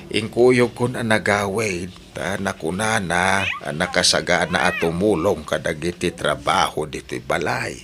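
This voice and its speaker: A deep, fatherly voice with an Ilocano accent, a hospitable middle-aged farmer uncle in a radio drama. He talks in an easygoing, kindly way. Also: measured pace, noisy background. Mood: welcoming. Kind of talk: Filipino radio drama acting.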